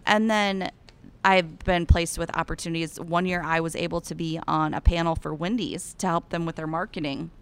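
Bubbly Iowan woman, smooth voice. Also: fairly low pitch, normal speed. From Iowa. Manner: bubbly and enthusiastic, gushing with excitement. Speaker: guest, cattle industry ambassador. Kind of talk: casual interview chat.

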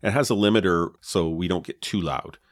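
A man with a low voice, co-hosting a podcast and explaining his gear in a relaxed way, with many fillers, on a dynamic mic with a pop filter. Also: brisk, dry recording. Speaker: podcast co-host. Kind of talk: casual chat about podcast gear. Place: home podcast setup. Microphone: dynamic podcast mic with pop filter and foam cover.

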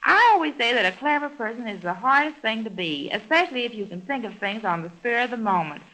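A woman's voice: on the high side, expressive, quick pace, pushed and bright, rough recording. A woman playing a chatty, scatterbrained young hostess in 1930s American radio comedy, gushing and prattling with innocent confidence. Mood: gushing amused. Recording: vintage 1930s radio broadcast.

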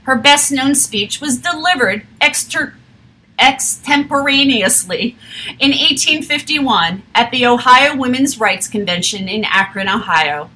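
A woman reading a history text aloud in a steady, measured way, with an occasional slip. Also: high voice, low-quality recording.

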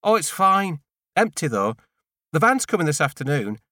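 Breezy woman acted by a male narrator, new neighbour in a novel, light brisk manner, raised lilting pitch, slightly guarded. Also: very animated, pushed and bright, no room sound, clean studio sound. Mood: brisk, curious.

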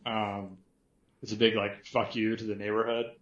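A deep-voiced man co-hosting a podcast, speaking haltingly with frequent stumbles and restarts. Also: level tone, a bit bright, reverberant, lo-fi sound. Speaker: podcast co-host. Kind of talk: casual podcast banter.

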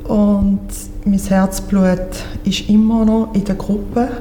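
A middle-aged woman from Barcelona introducing herself on a panel in a lively, rambling way, correcting herself as she goes. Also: mid-range voice, slow. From Barcelona, Spain. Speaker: guest, victim support counselor. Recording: echoey hall with faint noise.

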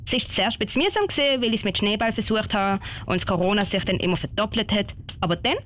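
A woman's voice: mid-range voice, quick pace, a bit bright, a little hiss or hum, natural voice. A woman playing a brave, eager ten-year-old girl scout with a Swiss German accent in an amateur radio play. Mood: proud, eager.

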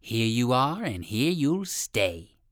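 A storyteller voicing a sly, wicked owl with slow, drawn-out, sarcastic menace in a children's storybook. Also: medium pitch, big pitch swings, dry recording, clean studio sound. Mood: sarcastic, gloating.

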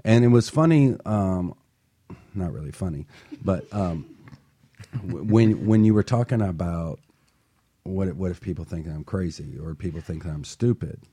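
A man's voice: sits low, lively intonation, normal speed, mellow, slightly rough. A man hosting a talk show, telling a story in a casual, conversational way and checking in with his listeners as he goes. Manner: conversational, keeps checking in with "right?".

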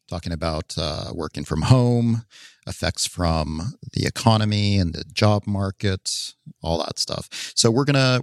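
Male podcast host, low voice, easygoing intro, dry close sound. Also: no room sound. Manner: relaxed, some fillers.

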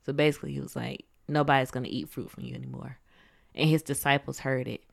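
A woman's voice: rumbling low, steady tone, quick pace, gravelly. A woman co-hosting a casual faith podcast, bantering playfully with her co-host and reading aloud from the Bible. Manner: playful, laughs often, reads scripture aloud.

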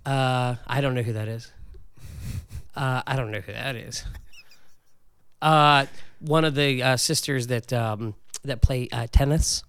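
A man in casual banter during a guessing game, giving clues haltingly with lots of fillers, close to the mic. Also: medium pitch, a bit bright, clean tone, dry recording.

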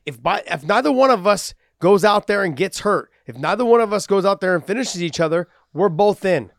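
Guy talking fight stuff on a podcast, kinda high voice, dry close sound, goes on and on. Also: fairly quick, dry recording. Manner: talkative, animated, explains at length. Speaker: podcast co-host. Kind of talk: casual sports chat.

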